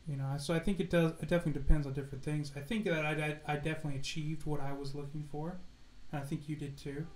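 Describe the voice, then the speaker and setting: A man's voice: a little high, steady tone, fast talker, darkish tone. A man co-hosting a casual livestream chat, joking and telling stories while acting out quotes. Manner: joking, storytelling, acts out quotes. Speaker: co-host. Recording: echoey room.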